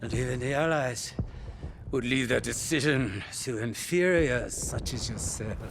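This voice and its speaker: An actor playing an arrogant, gloating Nazi commander in a video game, speaking in a pompous, deliberate manner. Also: male voice, medium pitch, creaky, background noise. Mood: smug. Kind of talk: cinematic video game acting.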